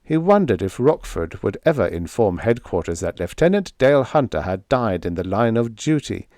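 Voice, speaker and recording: A man reading a scripted fiction audiobook as narrator, in a measured, deliberate storytelling manner. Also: fairly low pitch, dark tone, no room sound, clean studio sound.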